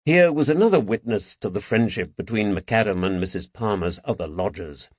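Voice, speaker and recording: A man's voice: fairly low pitch, lively intonation, normal speed, no echo, lo-fi sound. A man reading a mystery novel as a scripted audiobook narration, recorded close in a dry space.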